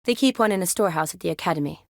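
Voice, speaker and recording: A woman's voice: lowish voice, lively intonation, very fast, vocal fry, no echo, studio quality. An actress voicing a spirited, teasing young woman medic in a fantasy video game, conversational and wry. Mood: playful.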